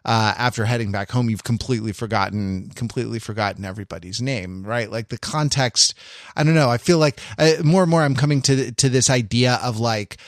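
An American man chatting casually and analytically as a podcast co-host, halting and circling through his thoughts with a wry, joking edge. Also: medium pitch, dry recording, studio quality.

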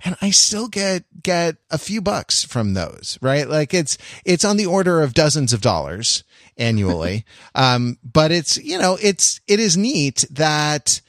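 A man's voice: a little high, big pitch swings, fairly bright tone, no echo. Man musing in a dry close voice. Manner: thoughtful, halting explanation with many restarts. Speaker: podcast co-host. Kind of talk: casual chat.

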